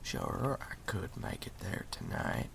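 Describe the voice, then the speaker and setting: A man playing a folksy, hospitable wandering story collector in an ASMR roleplay, drawling in a breathy half-whisper. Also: sits low, huge pitch swings, slow, a bit bright, slight background noise, heavily acted. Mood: wry, easygoing.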